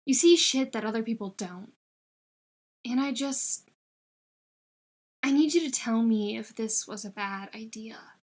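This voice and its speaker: Woman voicing an awkward, hesitant young college guy. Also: on the high side. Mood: uneasy, hesitant. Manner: hesitant, casual, halting. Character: awkward, unsure young male college student.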